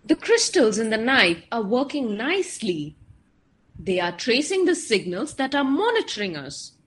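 A woman playing a determined, vigilant weapon maker in an audio drama, speaking deliberately and emphatically. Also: a little high, very animated. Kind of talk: amateur audio drama monologue.

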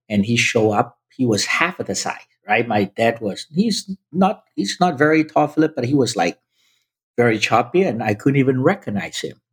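A man's voice: mid-range voice, slightly rough. A man in his fifties from Vietnam, a native Chinese speaker, telling his story emphatically as a podcast guest and often addressing the host directly. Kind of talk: personal storytelling in conversation.

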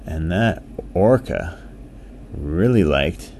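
A low-voiced man tells a bedtime story to a child at an unhurried pace. His tone is soft-edged and mellow, his phrasing simple and repetitive, over a faint background noise. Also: lively intonation.

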